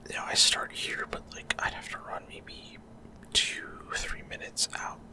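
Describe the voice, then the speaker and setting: A man speaks in an unvoiced whisper, giving casual, halting ASMR commentary on gameplay and explaining as he goes, close to the microphone. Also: bright, forceful tone. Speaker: gaming video host.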